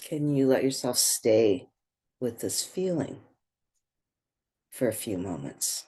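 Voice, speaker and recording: A meditation guide speaking gently and without hurry, leaving pauses between short phrases. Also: medium pitch, expressive, slightly rough.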